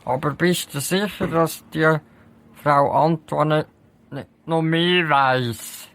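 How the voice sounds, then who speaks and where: A man's voice: fairly high pitch, takes it slowly, a bit bright. A man playing a cautious, practical police sidekick who grumbles a little, with a Swiss German accent, in a comic audio play. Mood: questioning.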